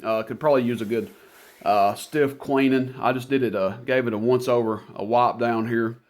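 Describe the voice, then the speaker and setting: A man's voice: medium pitch, steady tone, moderate pace. Plainspoken man giving a casual product demo. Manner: plainspoken, folksy, unscripted. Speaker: product demonstrator, radio seller.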